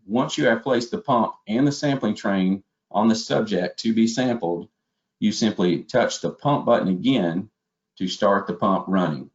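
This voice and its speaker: Guy with a lowish voice walking thru product training step by step, kinda muffled lo-fi webinar sound. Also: a little slow. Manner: methodical, step-by-step explaining. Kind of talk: instructional slide presentation narration. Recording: dull, lo-fi webinar audio.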